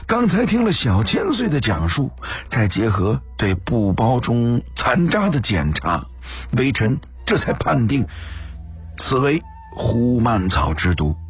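A man's voice: mid-range voice, big pitch swings, slow pace, husky, soft background music, voice pushed up, exaggerated voice. A man playing a cautious, deferential imperial physician in an audio story, speaking formally and carefully. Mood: formal, explaining. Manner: hesitant, formal.

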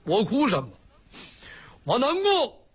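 Man acting rash filial outlaw, storytelling style, very raspy, wide swooping pitch, loud, pleading. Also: big pitch swings, measured pace, exaggerated voice. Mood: aggrieved, tearful. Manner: loud, blunt, wailing and pleading. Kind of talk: traditional Chinese storytelling performance.

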